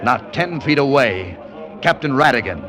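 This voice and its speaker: An actor playing a hard-boiled American adventurer in an old radio drama, terse, wry and dramatic. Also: male voice, mid-range voice, animated, normal speed, close to an everyday voice. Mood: tense, breathless. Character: hard-boiled American adventurer telling his own escape story. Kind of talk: 1940s-50s radio drama acting, first-person narration. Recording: vintage radio with faint music behind.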